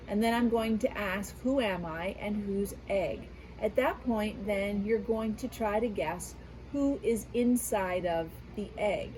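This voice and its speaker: A woman's voice: medium pitch, warm tone, a little hiss or hum, poor audio. A woman who works as a school media specialist, reading a picture book aloud to children and talking to them in a patient, teacherly way from her back porch outdoors. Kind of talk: children's read-aloud lesson.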